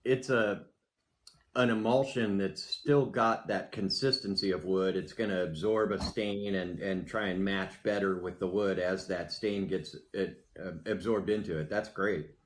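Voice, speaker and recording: A man's voice: low voice, measured pace. A man hosting a casual interview, speaking in an encouraging, attentive way. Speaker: host of a live craft call. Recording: noisy background with ambient sound.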